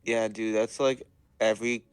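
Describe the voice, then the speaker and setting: A man with a naturally deep voice chatting and telling stories on a casual stream. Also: little pitch movement, moderate pace, clear, resonant tone. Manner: storytelling, chatty. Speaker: regular guest on the stream. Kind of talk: casual chat.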